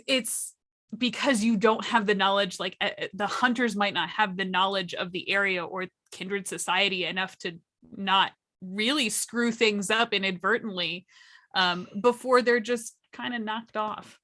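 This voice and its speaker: A woman's voice: medium pitch, a little slow, bright, forceful tone. A woman talking as a panelist in a casual, unscripted discussion, explaining things with enthusiasm. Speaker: workshop panelist.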